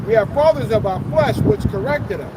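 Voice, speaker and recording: A man reading scripture aloud slowly and emphatically. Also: high-pitched, expressive. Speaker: scripture reader. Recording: outdoor wind noise.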